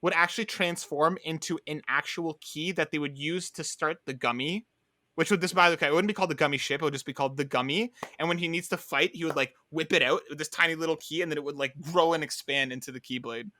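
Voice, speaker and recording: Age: unknown. Sex: man. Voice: bright, rambling podcast co-host. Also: a little high, fast talker, no room sound, clean studio sound. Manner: rambling, full of filler words. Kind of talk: casual chat.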